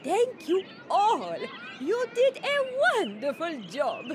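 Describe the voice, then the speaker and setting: An actress playing a kindly, encouraging female gazelle in a children's cartoon game, gentle in manner. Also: very high-pitched, huge pitch swings, slow pace, noisy background, full character voice.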